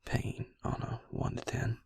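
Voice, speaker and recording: A man playing a gentle, wry vampire healer in an intimate ASMR roleplay, speaking slowly and soothingly in a breathy, husky near-whisper. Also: lightly acted. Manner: slow, soothing, folksy endearments.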